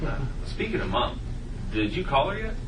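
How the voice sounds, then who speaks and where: Man playing an excitable teenage son, noisy room. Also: fairly high pitch, moderate pace. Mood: laid-back. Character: excitable, sheepish teenage son stuck in jail with dad. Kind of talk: comedy script table read. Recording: roomy, distant mic, very noisy room.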